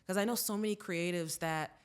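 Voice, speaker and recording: Lower-pitched woman from Boston, casual storyteller. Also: brisk, clean tone. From Boston, United States. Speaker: podcast guest, advertising professional. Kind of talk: casual conversational interview storytelling.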